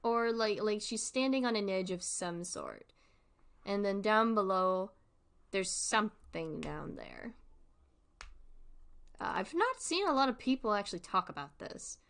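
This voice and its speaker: A woman's voice: mid-range voice, lively intonation, measured pace, a bit bright. A woman streamer giving casual livestream commentary in an informal, rambling, giggly way.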